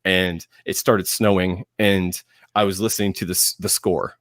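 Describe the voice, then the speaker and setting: A man's voice: deep voice, flat delivery, moderate pace, a bit bright, dry recording, studio quality. A man talking casually as a panelist about pop culture, thinking aloud and often repeating his phrases. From lived in Georgia, US.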